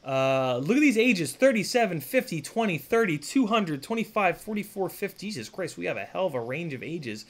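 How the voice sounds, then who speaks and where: A man's voice: high voice, very animated, fairly quick, few pauses. Rambling, swearing man in his thirties. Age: 30s. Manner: rambling, profane, full of fillers and restarts. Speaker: streamer. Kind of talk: casual live-stream chat. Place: home workshop room, indoors.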